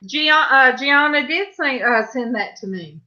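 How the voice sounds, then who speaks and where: Lady hosting a church video chat, kinda high voice, dull lo-fi sound. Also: animated, unhurried, sharp tone. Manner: folksy and chatty, with fillers. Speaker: host of an online church panel. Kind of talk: casual chat on a livestream.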